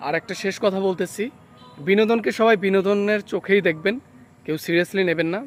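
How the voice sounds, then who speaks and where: Higher-voiced man, casual host, music behind. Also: fast. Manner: mixes English words into speech. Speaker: channel host. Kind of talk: casual video sign-off.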